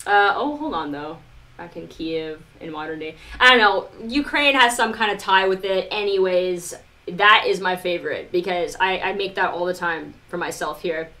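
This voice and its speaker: A woman's voice: lowish voice, normal speed, pushed and bright. A woman live streamer talking casually to her viewers, rambling informally with lots of "like" and some mild swearing. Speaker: live streamer, music producer.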